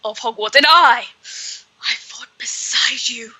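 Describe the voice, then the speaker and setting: A woman's voice: on the high side, takes it slowly, pushed and bright, slightly rough, no room sound, heavily acted, rough recording. A woman voicing an earnest, eager adult male wizard hero, reading a play script aloud in a pleading manner.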